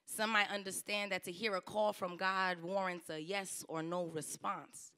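A woman's voice: mid-range voice, fairly even intonation, a little slow, clean tone. A young woman preaching a trial sermon at a lectern into a handheld mic, earnest, her emphasis rising and falling. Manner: earnest, rising and falling emphasis, softening to a near whisper at the close. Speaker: licentiate preacher. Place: church stage, indoor. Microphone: handheld microphone at a lectern.

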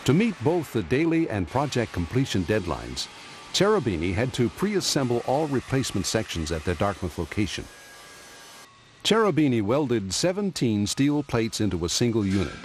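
Lively-voiced male documentary narrator. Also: on the low side, a little hiss or hum. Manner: measured, explanatory delivery. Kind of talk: scripted narration.

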